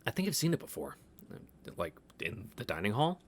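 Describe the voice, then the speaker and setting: A man's voice: mid-range voice, sing-song, normal speed, a little hiss or hum. A man reading a visual novel aloud as a let's-play host, breaking off into casual asides.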